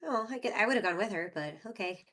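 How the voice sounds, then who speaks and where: A woman's voice: medium pitch, animated, fairly quick, a bit bright. Woman casually chatting at a game. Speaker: tabletop game player. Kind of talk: casual table chat.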